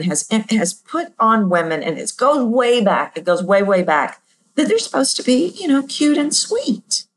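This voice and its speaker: A woman voice coach answering listener questions on her podcast, candid and self-deprecating, jumping her voice up and down to demonstrate pitches. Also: poor audio.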